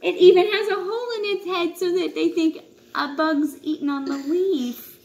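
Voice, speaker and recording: A woman in her thirties reading a children's nature book aloud at home in an expressive way, with asides to young listeners. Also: very high voice, moderate pace. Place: indoors, open living room and kitchen at home. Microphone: camera a short distance away. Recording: echoey room with clear background noise.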